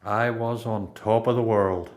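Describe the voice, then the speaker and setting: A man with a Northern Irish accent acting a reflective, self-controlled prisoner in a radio play, speaking in a measured way. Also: lowish voice, steady tone, lightly acted.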